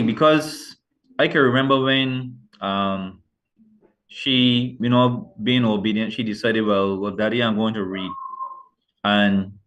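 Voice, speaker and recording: A middle-aged man from Barbados speaks with a Barbadian accent in a somewhat low voice. He talks earnestly and loosely, circling back and correcting himself as he answers interview questions about his writing. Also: a bit bright, rough recording. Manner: rambling, self-correcting, earnest. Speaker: author guest. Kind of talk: casual interview.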